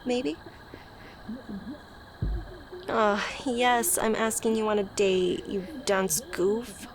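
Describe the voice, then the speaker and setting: A woman playing a shy, socially awkward young friend in a romantic ASMR roleplay, speaking softly and hesitantly with stammers, tender and easily flustered. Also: mid-range voice, fairly even intonation, slow pace, noisy background. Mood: flustered, disbelieving. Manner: soft, hesitant, stammering, sighing. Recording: prominent music behind the voice. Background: music.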